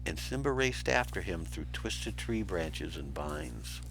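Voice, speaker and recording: A man with a fairly low voice reads a children's storybook aloud. His pitch swings widely and his pace is unhurried, with dramatic, deliberate phrasing and music playing behind him. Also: lively intonation, creaky, low-quality recording. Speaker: teacher reading aloud to pupils.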